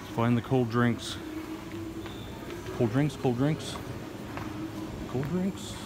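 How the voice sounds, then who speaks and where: A middle-aged man talks into a handheld phone as he walks, with chatty, grumbling asides. His voice sits at an ordinary mid pitch. Steady noise runs under the phone audio. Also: slow pace. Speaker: vlogger. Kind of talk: casual walking vlog. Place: outdoors on streets, then inside a shopping mall. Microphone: handheld phone camera.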